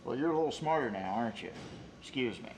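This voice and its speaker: A man's voice: medium pitch, animated, fast talker, natural voice, poor audio. A man acting an evasive small-town ladies' man in a film drama. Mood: cold, dismissive. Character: evasive small-town actor juggling lovers, playing radio inspector.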